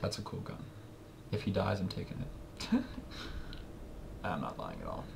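A man with a raspy voice, casually commenting while he plays a game, joking and muttering asides. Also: low-pitched, huge pitch swings, takes it slowly. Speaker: gameplay commentator. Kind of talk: casual let's play commentary. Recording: noisy home recording with game sounds.

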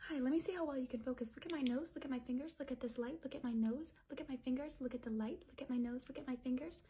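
A young woman giving gentle, repetitive ASMR instructions in a small room. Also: high voice, fast, slight background noise. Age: young adult. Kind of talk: ASMR focus-test roleplay.

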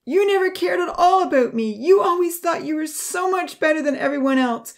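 A woman performing distraught young woman, high wailing voice, sobbing, bitter, pleading, audiobook drama. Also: fairly quick. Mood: bitter despair.

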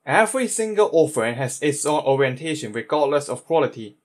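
A man's voice: a little high, big pitch swings, fairly quick. A man reading a scripted monologue as an amateur voice imitator, attempting a British accent in imitated game character voices.

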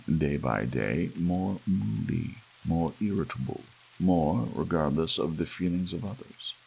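A low-voiced man reads a gothic horror tale as an ASMR audiobook. His voice is husky and full of gravelly fry. He moves through the text in a measured way, leaving deliberate pauses between phrases. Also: a little mellow, poor audio. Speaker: audiobook narrator.

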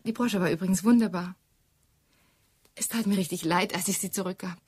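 An actress playing a self-assured, well-mannered young secretary, formal and evasive, in a radio play. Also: female voice, fairly low pitch, a little fast, darkish tone, a little hiss or hum, close to an everyday voice. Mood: composed, lightly charming. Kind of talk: German radio drama dialogue.

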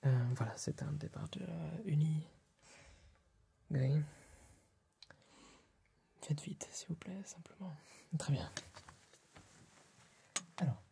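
A man's voice: fairly high pitch, expressive, takes it slowly, creaky, long pauses, warm tone, slightly rough. A young man in a whispered ASMR roleplay, speaking breathily and hesitantly as a polite, fussy tailor with a client. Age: young adult. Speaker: ASMR performer playing a tailor. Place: small room dressed as a tailor's workshop. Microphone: close ASMR microphone.

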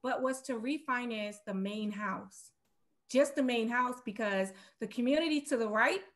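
A woman's voice: on the high side, moderate pace. A middle-aged woman talking casually about her business as an interview guest on a video call. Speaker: guest real estate investor. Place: room at home, indoors, on a video call.

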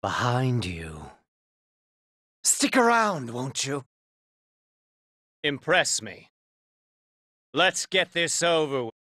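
A cold, ruthless gangster for a video game. The voice is raspy and forceful, leaping widely in pitch. Each curt line lands as a taunt or a threat. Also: male voice, big pitch swings, extremely slow, pauses often, no echo, clean studio sound, full character voice. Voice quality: raspy, gravelly. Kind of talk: video game combat barks.

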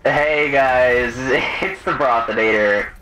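A man's voice: medium pitch, slow, pushed and bright, soft background music, low-quality recording. A man hosting a gaming video, chatting casually and calling people dude and bro. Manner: slangy, says dude and bro constantly.

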